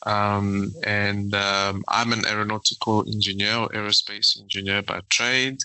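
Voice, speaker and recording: Guy in his 30s, slow talker, very bright edge, dry close sound, lots of ums. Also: fairly low pitch, level tone, clear, resonant tone, no room sound, low-quality recording. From South Africa. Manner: hesitant, searching for words with restarts. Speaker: guest, aeronautical engineer. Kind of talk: casual interview answers.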